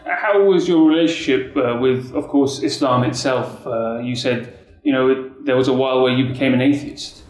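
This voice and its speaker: A man's voice: medium pitch, moderate pace, darkish tone. A man hosting an interview show, opening with a formal introduction and asking questions. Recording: faint music behind.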